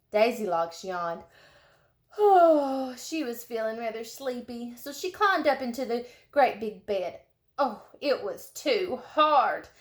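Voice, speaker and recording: A woman narrates a children's story in a fairly high, very animated voice. She leans on key words with dramatic, drawn-out emphasis. The room is noticeably echoey.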